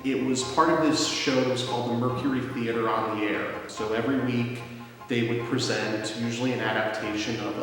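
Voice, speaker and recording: A man's voice: medium pitch, very echoey, long reverb tail, poor audio. A young man involved in radio theatre, speaking casually and wryly in a documentary interview. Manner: casual, wry, many restarts. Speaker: radio theatre writer and performer.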